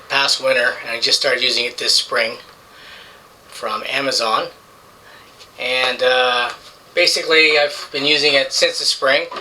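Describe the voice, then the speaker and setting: Male vlogger, conversational and meandering, sharp bright tone, faint background noise. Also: mid-range voice, poor audio. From Canada. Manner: rambling, many fillers and restarts. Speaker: motorcycle vlogger. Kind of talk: casual product review to camera. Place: hot indoor room.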